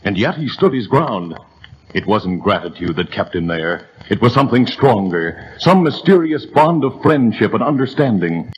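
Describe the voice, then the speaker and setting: A man's voice: lowish voice, big pitch swings, normal speed, highs cut off, slight background noise. A man narrating a 1930s radio adventure drama with a vivid, building delivery.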